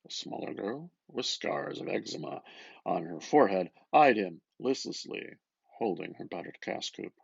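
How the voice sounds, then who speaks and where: A man giving an expressive, theatrical reading of literary prose, lingering over his phrases in a slightly muffled recording. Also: fairly low pitch, moderate pace, creaky, breathy edge, no room sound. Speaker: reader, artist. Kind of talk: dramatic literary reading aloud.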